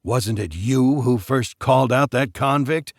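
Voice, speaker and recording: A man voicing a gruff, sneering middle-aged father who is dismissive. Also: medium pitch, a little slow, dry recording, studio quality, natural voice.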